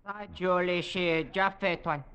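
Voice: mid-range voice, steady tone, takes it slowly, a bit bright. An actor voicing an eager, deferential servant who speaks in brief pidgin phrases, in old radio drama style. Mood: eager, obliging. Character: eager, obliging native servant in the tropics. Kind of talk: 1940s-50s radio drama acting. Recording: dull old radio recording, clicks, hiss.

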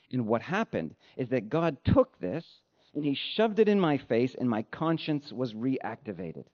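Male pastor, fairly high voice with very lively intonation, emphatic and measured sermon delivery, slightly muffled recording. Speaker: pastor preaching. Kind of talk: sermon with read-out quotations.

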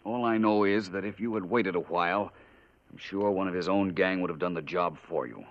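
Deep-voiced American male sleuth: shrewd, courteous, measured. Muffled vintage radio sound. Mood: calm, certain. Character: composed, shrewd gentleman detective recovering in hospital. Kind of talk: 1950s radio drama acting. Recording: dull old radio recording.

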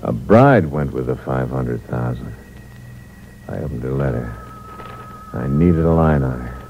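An actor playing a cold, scheming impostor and killer in a 1940s radio mystery, terse and slangy in a hard-boiled way. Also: male voice, a bass voice, animated, unhurried, gravelly, music in the background, heavily acted. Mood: cold narrating. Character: scheming cold male impostor and killer narrating his crime. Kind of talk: 1940s radio mystery acting, confessional narration. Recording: muffled old radio recording, background hiss.

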